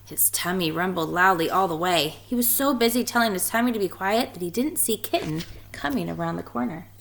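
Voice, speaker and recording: A woman reading a children's picture book aloud in an expressive storytelling style. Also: on the low side, fairly quick, creaky. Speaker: story reader.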